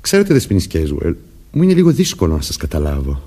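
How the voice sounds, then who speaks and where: An actor playing a suspicious police sergeant in a Greek radio play, questioning with probing insistence and a husky voice. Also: male voice, sits low, huge pitch swings, mellow, exaggerated voice. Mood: insistent. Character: suspicious, persistent male police sergeant interrogating suspects. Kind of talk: Greek radio theatre acting.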